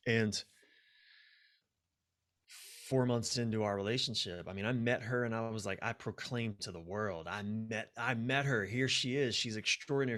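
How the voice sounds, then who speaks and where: Low-voiced man talking reflectively, with emphasis. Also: fairly bright tone. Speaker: podcast guest, life and relationship coach. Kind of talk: conversational, reflective interview talk.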